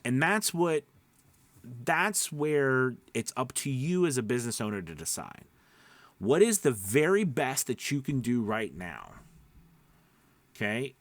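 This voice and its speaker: A man's voice: mid-range voice, sing-song, measured pace, no room sound. Emphatic male coach, dry close sound. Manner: emphatic, conversational, often checks in with "okay?" and "right?"; rambling, self-interrupting. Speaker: voice-over coach and mentor. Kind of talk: informal coaching talk.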